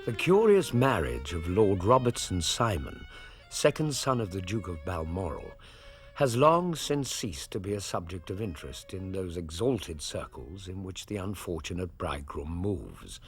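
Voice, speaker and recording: An actor with an English accent playing a genteel Victorian doctor in a radio drama, measured, literate and courteous. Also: male voice, low voice, sing-song, faint music behind, exaggerated voice. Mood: measured, reflective. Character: genteel Victorian doctor, wry loyal companion and storyteller. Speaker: actor and narrator. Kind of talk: period radio drama narration and dialogue.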